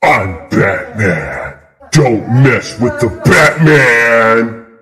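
A man playing a gruff, menacing masked superhero in a commanding, theatrical way, through a voice changer. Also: medium pitch, big pitch swings, drawn-out words, sharp tone, heavily acted.

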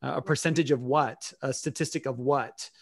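A man's voice: medium pitch, steady tone, normal speed. A man in his forties hosting a casual online discussion, emphatic and fond of rhetorical questions, on a desk microphone. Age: 40s. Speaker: host. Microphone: desk microphone on a boom, video call.

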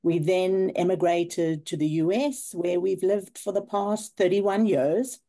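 Low, mellow South African woman in her fifties. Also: fairly even intonation, a little slow. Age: 50s. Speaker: class reunion participant. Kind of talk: personal life update, partly prepared. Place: video call.

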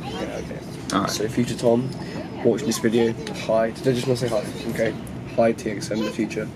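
A man's voice: medium pitch, little pitch movement, brisk, over a noisy crowd. A young man from England, casually signing off a vlog into a handheld camera held close to his face, in a small room at night. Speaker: vlogger.

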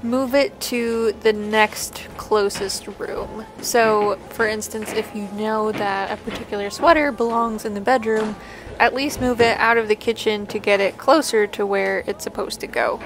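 A woman's voice: medium pitch, fairly even intonation, a little slow, slight background noise. A woman chatting casually in an upbeat way as she narrates a home vlog. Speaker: vlogger, host. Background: music.

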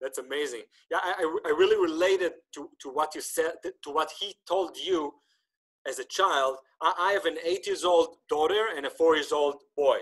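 A man in his thirties, native Hebrew speaker, hosting a casual podcast chat over a webcam. His voice sits a little high for a man, and he talks in an animated way, often stumbling and restarting his sentences. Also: moderate pace, husky, rough recording. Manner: animated, gesturing, many restarts. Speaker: podcast host. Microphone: video call webcam.

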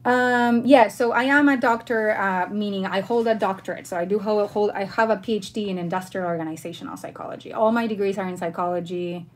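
A woman's voice: mid-range voice, a little fast, hardly any pauses. Chatty woman from Argentina, livestreaming. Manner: informal, chatty, friendly address to viewers. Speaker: livestream host. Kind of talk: casual livestream chat, answering viewer questions. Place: bedroom at home, indoors.

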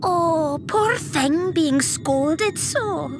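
A woman's voice: extremely high pitch, expressive, extremely slow, over music, heavily acted. A woman voicing a mischievous, childlike fairy spirit in a video game, coaxing and teasing in a singsong way. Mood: mock pity. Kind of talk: dramatic video game voice acting.

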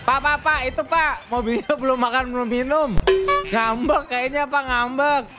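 A man's voice: extremely high pitch, steady tone, moderate pace, music in the background. A man with a colloquial Jakarta accent doing casual, joking, teasing gameplay commentary for viewers in slangy speech. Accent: Jakarta-style colloquial Indonesian. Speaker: gaming video creator commentating for viewers.

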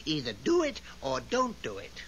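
A long-suffering deity who tends the stars, theatrical and fed up. His voice sits a bit high and swings widely in melody. It comes from a faintly hissy 1970s radio drama recording. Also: huge pitch swings, normal speed, a bit bright. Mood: exasperated, lofty. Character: weary, exasperated godlike male overseer of the stars.

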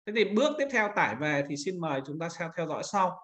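A man instructing in a screen-recorded software tutorial, talking informally and pointing things out step by step as he demonstrates. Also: a little high, sing-song, fast talker. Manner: informal, repeats pointing words while demonstrating.

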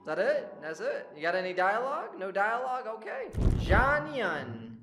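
Excitable man reacting with animated pitch. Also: moderate pace. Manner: excitable, slangy exclamations. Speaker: host of a reaction channel. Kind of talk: casual reaction commentary. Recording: roomy sound with background music. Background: game trailer music.

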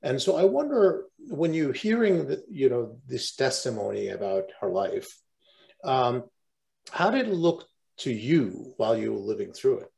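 A man's voice: mid-range voice, very animated, measured pace, gravelly, a little mellow. An older man moderating a formal online tribute, speaking formally as he frames questions on a video call. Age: 60s or 70s. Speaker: host, moderator, professor. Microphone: video call microphone.

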